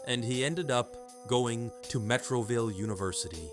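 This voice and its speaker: A man's voice: medium pitch, moderate pace, music in the background, close to an everyday voice. A man playing an earnest fugitive leader in an audio drama, speaking seriously and formally. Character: earnest male fugitive leader, keeper of the word.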